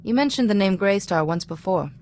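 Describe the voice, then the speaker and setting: An actress playing a calm, principled Jedi diplomat in a video game, offering composed, gently admonishing counsel. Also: female voice, medium pitch, slight background noise, close to an everyday voice. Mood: calm, advising. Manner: composed, measured wording, advisory. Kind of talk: video game character dialogue.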